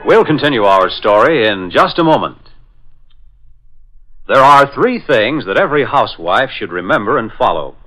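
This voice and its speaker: A man's voice: medium pitch, sharp tone, poor audio. An American man announcing and narrating in formal, emphatic, scripted 1940s radio style.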